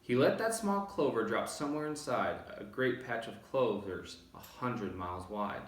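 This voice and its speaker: A man reading children's verse aloud as an expressive storybook narrator. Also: medium pitch, normal speed. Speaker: storybook reader. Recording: roomy, distant microphone.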